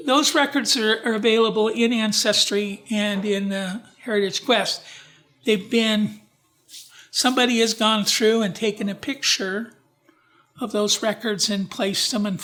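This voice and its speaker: A man's voice: high-pitched, bright, forceful tone. A man presenting a genealogy talk at a library, speaking informally with slides, often restarting his sentences and putting questions to the audience. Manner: halting, with frequent restarts and asides to the audience. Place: library event room.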